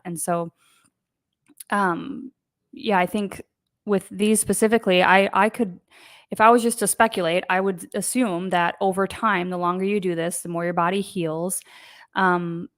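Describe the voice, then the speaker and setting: A woman's voice: fairly low pitch, no echo, studio quality. A woman hosting a casual live online show, chatty and rambling with many fillers. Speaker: host. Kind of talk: casual livestream chat.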